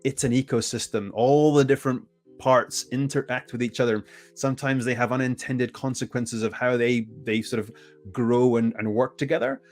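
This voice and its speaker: A man's voice: medium pitch, moderate pace, soft background music. A man whose first language is English, giving a conference presentation with energy and often restarting his phrases. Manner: energetic, self-correcting, frequent restarts. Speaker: conference speaker.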